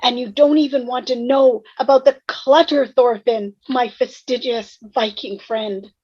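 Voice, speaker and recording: A woman's voice: on the high side, fairly even intonation, measured pace, slightly rough, muffled recording. A woman playing a sly, streetwise, gossipy ghost in a sitcom table read over a video call. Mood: airy complaint.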